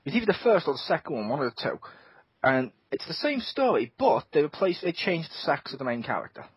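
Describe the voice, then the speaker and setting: A man hosting a casual gaming podcast. He talks in an animated way, swears a lot and acts out his own reactions. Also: on the high side, fast talker, husky, dry recording. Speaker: podcast host. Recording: dull lo-fi podcast audio.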